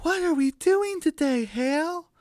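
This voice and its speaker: A squeaky young hen in a cartoon radio play. The pitch sits far up high and jumps about. She is excitable and exclaims her words in a close, dry recording. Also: measured pace, no echo. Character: excitable squeaky young female chicken friend.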